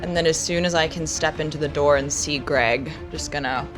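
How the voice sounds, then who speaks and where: A woman's voice: sits low, normal speed, over music. A woman playing a tabletop roleplaying game, narrating her moves in loose, slangy casual talk.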